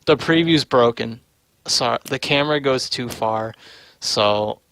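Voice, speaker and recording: A man's voice: mid-range voice, unhurried, a bit bright, dry recording, low-quality recording. A man giving casual, unscripted game livestream commentary, thinking aloud in offhand short fragments. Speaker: livestream host and game developer.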